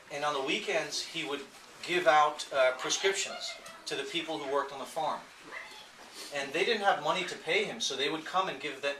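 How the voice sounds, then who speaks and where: A man telling an unscripted anecdote to a gathering in a halting, hesitant way. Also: on the high side, bright, forceful tone. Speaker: speaker at a memorial gathering. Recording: echoey room, distant mic, some noise.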